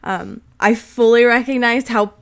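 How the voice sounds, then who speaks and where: A woman's voice: fairly high pitch, steady tone, measured pace, gravelly. Gushing, emphatic woman podcast co-host. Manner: gushing, emphatic, self-mocking fan. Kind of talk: casual chat.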